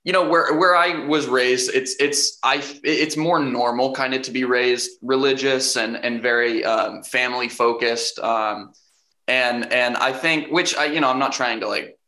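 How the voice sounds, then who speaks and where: A young man, an actor, answering interview questions casually, hesitant and full of restarts, with a fairly deep voice. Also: unhurried, pushed and bright. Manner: hesitant, many restarts and fillers, laughs easily. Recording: roomy echo.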